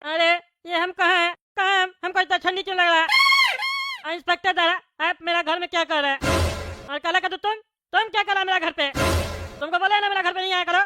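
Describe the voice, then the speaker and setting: A performer voicing a loud, angry bald male politician, an indignant, threatening buffoon in a Hindi comedy cartoon. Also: very high-pitched, flat delivery, brisk, over music. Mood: confused, annoyed. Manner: blustering, threatening, complaining.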